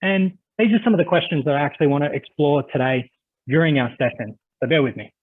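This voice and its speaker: A man's voice: fairly high pitch, lively intonation, fast talker. Aussie guy presenting on a video call, friendly and chatty, lots of uhs, tinny phone-like sound. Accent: Australian. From Australia. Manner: friendly, chatty, with frequent "uh" fillers. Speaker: conference presenter. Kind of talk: webinar presentation. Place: home office on a video call.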